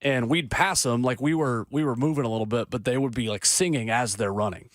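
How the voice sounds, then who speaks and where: A man's voice: mid-range voice, fairly quick, dry recording, clean studio sound. A man co-hosting a morning sports radio show, telling stories in casual chat. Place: radio studio. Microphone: studio microphone.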